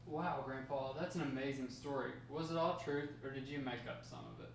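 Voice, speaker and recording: A man's voice: mid-range voice, fairly even intonation, a little fast, slight background noise, natural voice. A man reading the part of a curious, persistent grandson questioning his grandfather at a script table read. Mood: impressed. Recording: distant, very echoey room.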